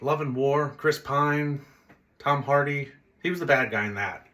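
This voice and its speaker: A man with a bright, forward voice at an ordinary male pitch hosts a film review. He talks off the cuff in a blunt, conversational way and drifts into side remarks as he goes. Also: a little slow. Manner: blunt, conversational, rambling with tangents. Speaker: host of a movie review video channel. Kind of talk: casual unscripted film review commentary.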